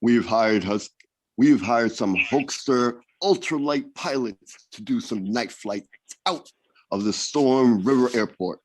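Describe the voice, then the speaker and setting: A man's voice: medium pitch, slow pace, poor audio. A man playing a young, agitated junior agent, reading in an amateur readers theater over a video call. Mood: worried. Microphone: video or conference call.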